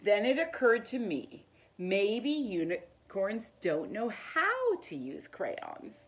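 A middle-aged woman reads a children's picture book aloud in an expressive, deliberate storytelling manner. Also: medium pitch. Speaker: teacher reading aloud. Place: living room, indoors.

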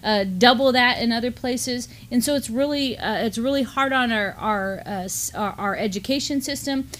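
Middle aged lady cohost, slightly high voice, earnest casual news chat. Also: moderate pace, fairly bright tone, a little hiss or hum. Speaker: co-host and educator. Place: indoor studio desk, backdrop.

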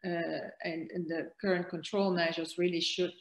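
A woman's voice: fairly low pitch, little pitch movement, moderate pace, echoey room. A woman in her sixties giving a conference lecture on a webcam, hesitant, with many fillers and restarts. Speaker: keynote speaker on virus transmission. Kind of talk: conference lecture over slides. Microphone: webcam on a video call.

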